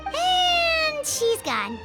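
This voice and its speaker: Tiny squeaky childlike companion creature. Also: female voice, wildly swooping pitch, very slow, exaggerated voice. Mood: concerned. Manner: inquisitive. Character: small chirpy childlike floating companion creature, female. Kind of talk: English video game voice acting. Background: game music.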